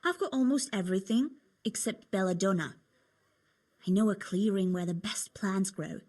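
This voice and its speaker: Husky, chatty young medieval tavern girl, acted by a woman. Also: mid-range voice, moderate pace, darkish tone, lightly acted. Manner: chatty, coaxing. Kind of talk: video game cutscene acting.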